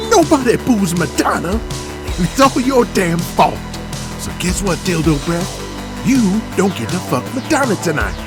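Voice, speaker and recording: A man's voice: high-pitched, sing-song, a little slow, voice pushed up. A man voicing a small, irate celebrity manager, indignant, whiny and profane, in an audio drama. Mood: indignant, furious. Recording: loud music behind.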